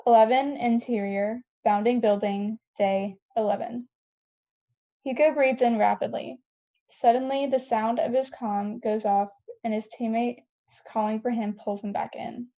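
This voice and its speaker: A woman's voice: on the high side, steady tone, a little slow, mellow. A woman reading scene headings and stage directions as narrator of a table read, on a dull lo-fi recording.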